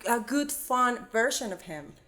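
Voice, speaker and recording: Higher-voiced woman asking questions. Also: lively intonation, sharp tone. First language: Spanish. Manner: playful, quick reactions, non-native grammar. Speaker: guest host asking questions. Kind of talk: casual interview.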